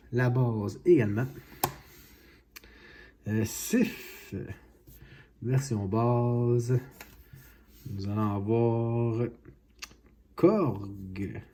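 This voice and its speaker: A slow-talking man opens trading card packs at home. His voice is very dark and a little husky. He stays calm and gets excited over a big pull. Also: medium pitch. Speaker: card collecting channel host. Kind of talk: casual card-opening vlog. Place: home, at a card-opening mat.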